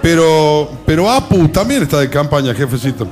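A man's voice: a little high, very animated, normal speed, soft background music. A man playing a deferential, hesitant Argentine henchman to a political boss in a satirical radio comedy sketch.

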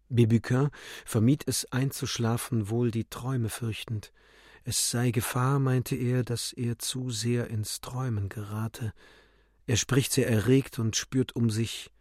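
A man narrating a literary radio drama in a theatrical way, moving between declamation and hushed, measured reading. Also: medium pitch, steady tone, slightly rough, dry recording, clean studio sound.

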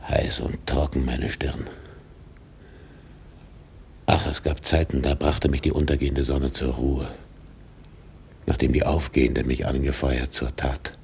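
An actor playing an obsessed, domineering old whaling captain in a radio drama, gravelly and declamatory with brooding pauses. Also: male voice, extremely low pitch, little pitch movement, a little mellow, a little hiss or hum, heavily acted. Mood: bitter brooding. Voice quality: gravelly, husky. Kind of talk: German radio drama acting.

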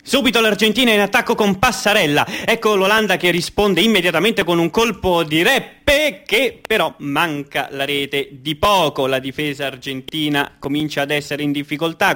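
Bright, fervent football commentator. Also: male voice, sits high. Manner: declamatory, repeats phrases for emphasis. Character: fervent patriotic Argentine radio football commentator.

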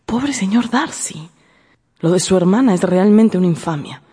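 Very breathy, sympathetic sister. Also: female voice, medium pitch, animated, moderate pace, big-room sound. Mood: regretful. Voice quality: breathy, hushed. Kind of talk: radio drama acting.

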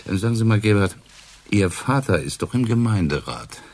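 An actor playing a dry, sardonic police inspector who probes with irony in a naturalistic radio crime play. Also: male voice, fairly low pitch, animated, moderate pace, darkish tone. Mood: dry, probing. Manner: dry, ironic, probing.

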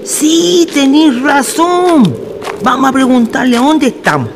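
A man's voice: very high voice, measured pace, noisy background. An actor playing a weary, cautious village man in a radio drama, plaintive and colloquial, with a Chilean accent. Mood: anxious. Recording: music behind the voice.

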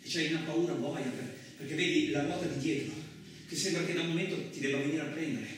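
A man acting in a radio play as a gruff, crude truck driver who tells his story in blustering, rambling, colloquial speech. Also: a little high, fast talker, close to an everyday voice. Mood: rueful, reflective. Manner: blustering, rambling, conversational, mildly swearing. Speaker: actor in a solo radio play. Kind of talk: colloquial theatrical radio monologue. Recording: roomy, echoing distant miking with faint noise.

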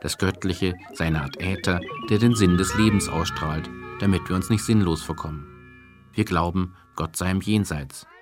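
Music plays clearly behind a man with a low-pitched voice. He narrates a scripted radio feature, measured and deadpan.

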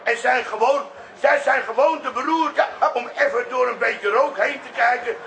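An actor playing an older, self-righteous café owner, ranting pompously in a radio comedy drama. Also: male voice, very high voice, normal speed, bright, forceful tone, slight background noise, exaggerated voice. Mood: defiant. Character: indignant old café-owner grandfather, self-righteous anti-monarchist rebel.

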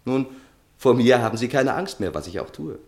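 A man's voice: mid-range voice, lively intonation, normal speed, a little mellow, natural voice. A man acting in a 1960s German radio play as a tipsy, infatuated young journalist, with theatrical, ardent and sometimes stammering delivery. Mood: boastful, playful.

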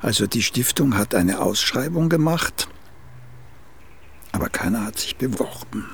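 An actor playing a vain, condescending older playwright in a radio comedy, with a gravelly, husky voice and a drawn-out, self-important manner. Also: male voice, mid-range voice, expressive, exaggerated voice. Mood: needling, probing. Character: vain, aging celebrated playwright, condescending and touchy. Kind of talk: literary comedy radio play acting.

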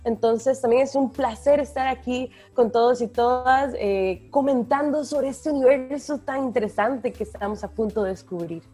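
A woman's voice: high voice, moderate pace, few pauses, dark tone, husky. Sex: woman. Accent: Costa Rican Spanish. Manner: enthusiastic. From Costa Rica. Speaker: podcast co-host, environmental educator. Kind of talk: casual podcast chat.

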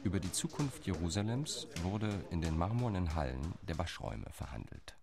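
A man's voice: low-pitched, very animated, normal speed, gravelly, music in the background, exaggerated voice. A man playing a determined partition lobbyist. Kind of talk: radio play monologue.

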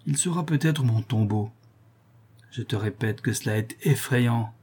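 A husky, darkly tinted voice of a blind old killer, as a male reader puts him on. He broods in an intense, hushed monologue, threat and torment in every phrase. A classic storybook villain, heard in audiobook form. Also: mid-range voice, measured pace. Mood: brooding menace. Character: blind brutal old male murderer, haunted and vengeful. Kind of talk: audiobook reading of a classic novel.

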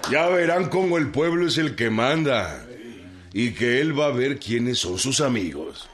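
An actor playing a hearty, blunt revolutionary war leader in a radio drama. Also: male voice, medium pitch, expressive, measured pace, natural voice. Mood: hearty, emphatic. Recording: noisy crowded radio drama scene.

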